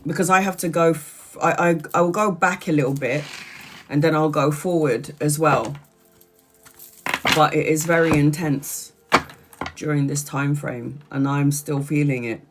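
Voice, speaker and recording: A woman's voice: sits low, slight background noise. Congested woman chatting on a livestream. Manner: rambling, tired, chatty. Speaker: tarot reader. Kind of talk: casual livestream chat.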